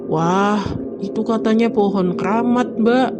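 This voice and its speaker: Woman as respectful household maid, mid pitch, helpful. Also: measured pace, natural voice. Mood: polite. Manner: polite, servile. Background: music.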